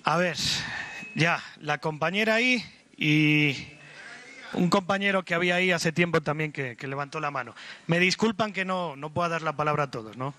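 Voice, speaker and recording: A man's voice: fairly high pitch, normal speed, pushed and bright. A man moderating a conference question round in a polite, apologetic manner.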